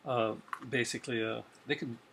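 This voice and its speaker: A man's voice: mid-range voice, fairly even intonation, normal speed, creaky, a bit bright, slight background noise. A middle-aged man with a light Newfoundland accent, chatting casually and rambling about his purchases with many fillers, filmed by webcam at home. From Newfoundland, Canada. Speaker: video host. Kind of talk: casual chat showing purchases. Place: home room with shelves and a TV.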